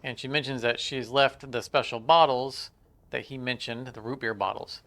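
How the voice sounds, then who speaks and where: A man's voice: medium pitch, fairly even intonation, moderate pace, pushed and bright. A man hosting a gaming video, giving a casual, informal, explanatory walkthrough. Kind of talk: casual game walkthrough commentary.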